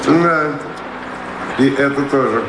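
A man's voice: mid-range voice, slow, roomy sound, noisy background. An actor in a radio drama playing a roguish seafaring vagabond, a sly, teasing storyteller who savours his words. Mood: enticing, dreamy. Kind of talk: theatrical radio drama acting.

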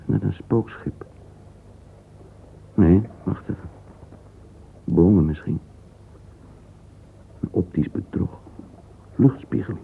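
An actor playing a wistful middle-aged man lost in thought, murmuring a halting interior monologue in a radio play. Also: low-pitched, gravelly, slightly rough, full character voice. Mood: musing. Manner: murmuring, halting, fragmentary musing, sometimes whispered. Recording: narrow-band lo-fi old radio recording, hissy.

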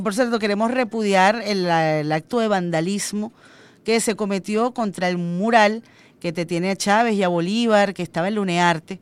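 A woman's voice: medium pitch, clean tone. Chatty Venezuelan woman radio host. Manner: chatty, personal anecdotes with fillers. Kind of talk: radio news and call-in chat.

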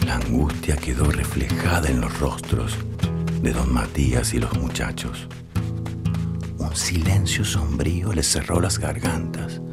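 Very deep, slow male narrator. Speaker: radio play narrator. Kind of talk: scripted dramatic narration. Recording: guitar music loud behind voice.

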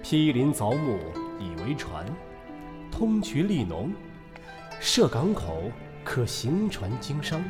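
A man acts out a roguish period traveller in an audio drama, witty and teasing. His delivery is unhurried and theatrical, with wide swings of pitch. Prominent music plays behind him. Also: wildly swooping pitch. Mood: lofty, declaiming. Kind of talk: Chinese audio drama acting, period dialogue.

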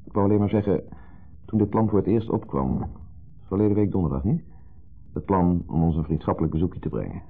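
An actor playing an insecure, jealous husband in a 1970s radio play, hesitant, fretful and full of questions. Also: male voice, low voice, a little fast, long pauses, husky. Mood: brooding. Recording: muffled narrow-band 1970s radio recording.

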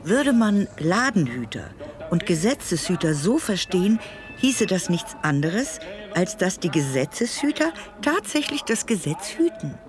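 A woman's voice: lowish voice, big pitch swings, measured pace, over music. A woman playing a thoughtful partner in a literary radio play dialogue, reflective and playful with words. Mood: musing.

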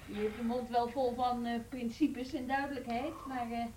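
An actress in an intimate radio play, playing a tired, earnest woman who talks quietly and hesitantly, evasive with her partner. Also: fairly high pitch, flat delivery, normal speed, lightly acted. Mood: quiet, reflective. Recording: slightly distant, faint noise and clicks.

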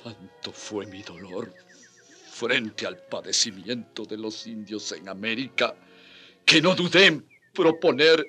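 An actor playing a remorseful old friar in a radio drama, pleading in a halting, emotionally heavy way. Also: male voice, on the low side, pushed and bright, soft background music. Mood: tormented remorse. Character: anguished, remorseful old Spanish friar, defender of the oppressed.

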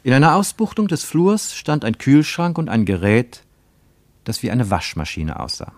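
A man reading scripted literary narration for a radio play in a measured, deliberate way with emphatic phrasing. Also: medium pitch, huge pitch swings, a little mellow, no echo.

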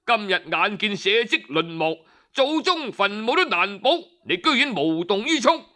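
A man's voice: high-pitched, sing-song, moderate pace, pushed and bright, heavily acted. A male storyteller with a Cantonese accent voicing a blunt, righteous commoner sage in indignant, declamatory speech. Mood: scolding, impassioned. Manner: declamatory, indignant, hard-hitting. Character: blunt, righteous commoner sage scolding his noble friend.

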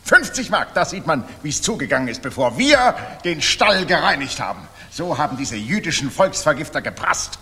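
An actor playing a resentful, fanatical Nazi journalist, indignant and stiff, in a 1960s German radio drama. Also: male voice, fairly high pitch, sing-song, normal speed, few pauses, pushed and bright, husky, exaggerated voice. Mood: indignant contempt. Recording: reverberant mono 1960s radio recording.

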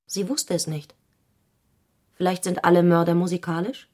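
Eine Schauspielerin spricht in einem literarischen Hörspiel eine grüblerische, knappe, fragende innere Stimme einer Frau. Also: female voice, on the low side, steady tone, moderate pace, darkish tone, natural voice. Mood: terse, musing. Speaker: second narrating voice in a literary radio play. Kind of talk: literary radio drama narration.

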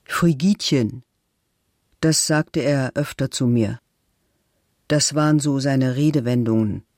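A man reading a first-person statement dramatically, in a measured, deliberate way. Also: mid-range voice, a little mellow, no room sound, studio quality.

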